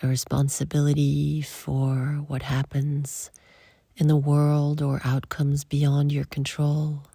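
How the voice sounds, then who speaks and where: A woman leading a guided meditation in soft, breathy, short phrases with long pauses, often trailing toward a whisper. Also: sits low, monotone, dry recording, studio quality.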